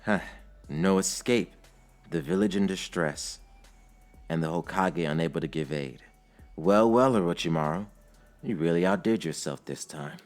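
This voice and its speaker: A man voicing a calm, wry veteran ninja with a measured delivery in an anime-style story. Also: low voice, soft background music, close to an everyday voice. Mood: wry unease.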